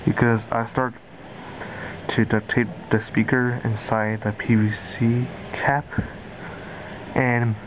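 A man giving a casual DIY tutorial in halting, repetitive, simple phrases, recorded indoors on a handheld camera's built-in mic. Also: medium pitch. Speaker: amateur maker demonstrating a homemade gadget. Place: carpeted room indoors. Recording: dull lo-fi camera audio, steady noise.

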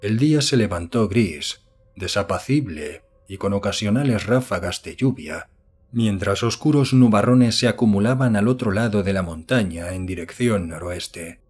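A man narrates a scripted horror story in a fairly low voice. The tone is husky, and the delivery is solemn and literary. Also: animated, moderate pace, a little mellow. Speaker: audiobook narrator.

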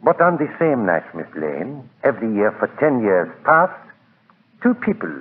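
An actor playing a grave island hotel proprietor, formal, deliberate and ominous, in 1940s radio drama. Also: male voice, mid-range voice, big pitch swings, husky. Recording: tinny, noisy 1940s radio recording.

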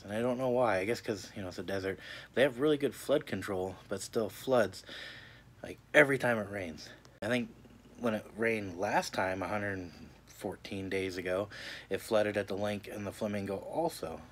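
A man in his thirties chatting casually to his phone camera at arm's length in his living room, relaxed and talkative. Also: lowish voice, moderate pace. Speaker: vlogger. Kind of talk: casual home vlog. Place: living room at home, indoors. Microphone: phone held at arm's length. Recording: phone audio with clear background noise.

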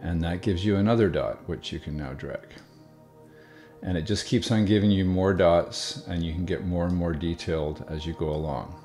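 A man calmly explaining software steps one by one in a screen-recorded tutorial, with the odd filler. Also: deep voice, normal speed, soft background music. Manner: relaxed step-by-step explaining with fillers. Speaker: video tutorial host.

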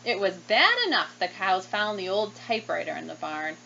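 Animated woman reading a picture book aloud. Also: medium pitch, moderate pace, creaky. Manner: animated, questioning, child-directed. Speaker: teacher reading aloud to children. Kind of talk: expressive picture-book read-aloud with asides. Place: small room indoors at desk. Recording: slightly dull webcam sound, faint noise.

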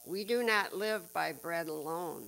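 A woman's voice: lowish voice, a little slow, creaky, fairly bright tone, rough recording. An older woman leading a call to worship, addressing the congregation from the pulpit of a small church. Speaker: lay worship leader.

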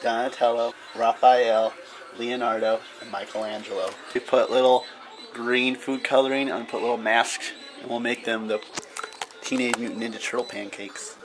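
A man's voice: medium pitch, level tone, normal speed, rough recording. A young man filming a home video in a kitchen, joking and teasing in casual banter. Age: young adult. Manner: teasing, wisecracking. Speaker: vlogger filming. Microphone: handheld camera mic.

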